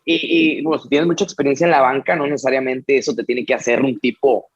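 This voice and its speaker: An eager male interviewer with a husky, slightly breathy voice stacks up questions for his guest. His speech comes through a little dull and muffled. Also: mid-range voice, steady tone. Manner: eager, piles up rapid questions. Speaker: interview host. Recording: muffled lo-fi sound.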